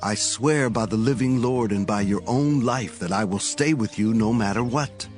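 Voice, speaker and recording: A man's voice: lowish voice, moderate pace, a little mellow, soft background music, close to an everyday voice. An actor playing a devoted, earnest male disciple of a prophet in a dramatized audio Bible, with a resolute delivery. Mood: resolute, loyal.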